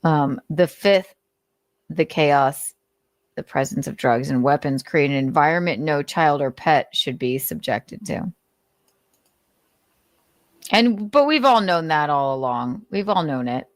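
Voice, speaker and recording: A woman's voice: low-pitched, expressive, measured pace, pauses often, no echo. A woman host giving commentary while reading aloud, sardonic and exasperated, with casual swearing. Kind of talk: commentary mixed with dramatic reading.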